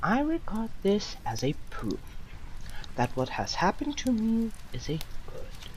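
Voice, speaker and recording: A woman playing a condemned old philosopher, defiant and serene, delivering a solemn, deliberate theatrical monologue to judges with long pauses. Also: medium pitch, huge pitch swings, poor audio. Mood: calm, philosophical. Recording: amateur recording with loud background noise.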